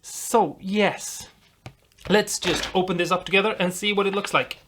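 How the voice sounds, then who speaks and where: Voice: mid-range voice, fairly even intonation, moderate pace, background noise. A host from Europe talking viewers through a build in a casual, rambling, encouraging way. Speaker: host of a DIY guitar pedal video.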